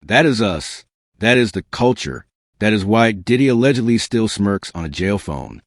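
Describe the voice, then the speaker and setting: A man delivering scripted, dramatic commentary as a host, speaking straight to the listener with emphatic repetition and pauses. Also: on the low side, no echo, clean studio sound. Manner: addresses the listener directly. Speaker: host of an online celebrity scandal commentary show.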